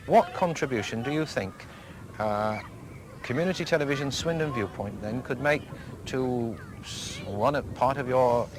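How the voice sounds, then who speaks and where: A man interviewing for community television, asking long, halting questions with many restarts. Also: mid-range voice, noisy background.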